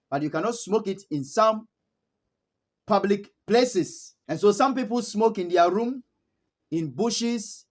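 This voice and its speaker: A man from Ghana with a Ghanaian English accent, casually telling stories to camera as a vlogger, chuckling often and repeating words for emphasis. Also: high-pitched.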